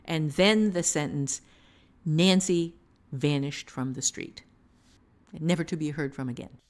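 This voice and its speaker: A woman giving unscripted teaching talk on a podcast, thinking aloud in a halting manner. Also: deep voice, darkish tone. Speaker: writing teacher, author.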